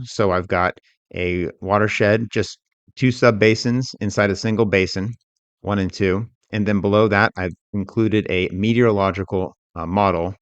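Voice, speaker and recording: A man giving an explanatory software tutorial as an instructor, talking through it step by step in a measured, unscripted way. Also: on the low side, dry recording.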